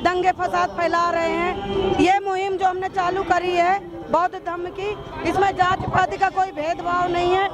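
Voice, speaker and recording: A hoarse middle-aged woman speaks earnestly at a street rally, her voice pitched very high. A crowd is clearly heard around her as she answers into a handheld mic. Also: flat delivery, normal speed. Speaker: rally participant. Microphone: reporter's handheld mic.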